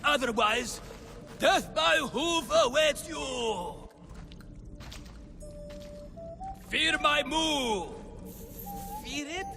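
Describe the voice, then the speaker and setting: A man's voice: expressive, extremely slow, sharp tone, long reverb tail, exaggerated voice. An actor playing a cowardly man who pretends to be a roaring Minotaur, then drops it and pleads meekly, stammering. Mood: blustering. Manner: booming fake threats, then meek and stammering.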